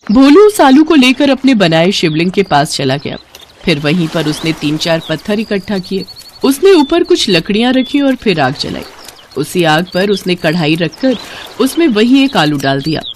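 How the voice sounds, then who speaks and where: Expressive woman narrating a storybook. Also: medium pitch, a little hiss or hum.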